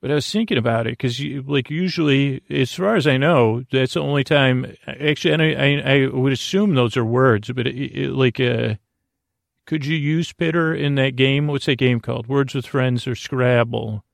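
Creaky-voiced man rambling gently off-track. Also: medium pitch, no echo, clean studio sound. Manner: halting, meandering, repetitive, drifting into whimsical asides. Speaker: podcast host. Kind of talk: rambling bedtime podcast monologue.

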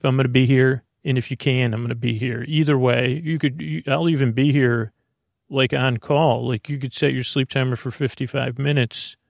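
A man hosting a bedtime sleep podcast in a halting, meandering, unscripted way, wandering off on mildly whimsical tangents. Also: vocal fry, dry recording, clean studio sound. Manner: mildly whimsical, low-key silliness. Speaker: podcast host.

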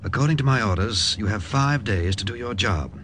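An actor playing a formal, measured naval officer giving instructions in a 1950s radio drama. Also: male voice, fairly low pitch, animated, natural voice. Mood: businesslike, calm. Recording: noisy old radio recording.

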